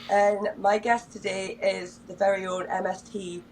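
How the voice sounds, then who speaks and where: A woman's voice: medium pitch, level tone, unhurried, slight background noise, rough recording. A woman hosting a casual podcast, bubbly and enthusiastic, casually swearing as she chats. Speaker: podcast host.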